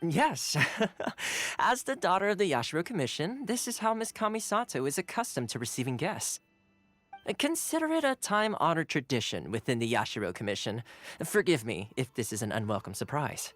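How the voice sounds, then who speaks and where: A man's voice: a little high, very animated, normal speed, fairly bright tone, soft background music. A man playing an affable, courteous young retainer and guide in a video game, explaining things in a polite, easygoing way. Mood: welcoming, courteous. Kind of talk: video game dialogue acting.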